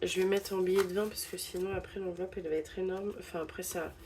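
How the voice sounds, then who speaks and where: A woman's voice: fairly low pitch, fairly even intonation, fast, a little mellow, slight background noise. A young woman with a stuffy head cold, casually narrating a budgeting vlog and hesitantly counting bills aloud. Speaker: budgeting channel host.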